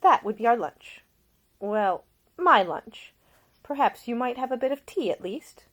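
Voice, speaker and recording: Woman acting a young woman, storybook heroine, caring, proper, earnest, raised lilting pitch, polite. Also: expressive, measured pace. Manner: solicitous, polite, gently earnest.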